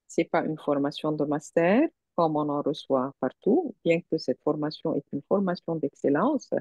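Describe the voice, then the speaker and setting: A woman's voice: low voice, animated, moderate pace, warm tone, no echo. A woman from a university encouraging applicants in an online meeting, earnest, with many hesitations. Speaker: university staff member. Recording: dull dry online call audio.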